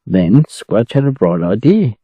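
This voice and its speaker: A man's voice: lowish voice, very animated, unhurried, husky, dry recording, phone-quality sound. An Australian man narrating a children's story for English learners as a storyteller.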